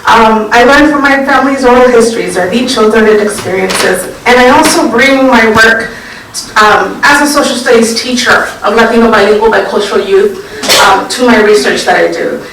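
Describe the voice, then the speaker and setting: A woman talks through her slides at a prize ceremony, thoughtful and unhurried, with many "um" pauses. Her voice sits slightly high. It comes from a distant mic, so the hall's strong echo and a faint hiss of background noise surround it. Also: fairly bright tone. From Central Valley, California. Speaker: award recipient and education researcher. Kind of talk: prize acceptance talk with slides. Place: lecture hall at an award event. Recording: echoey room, distant mic.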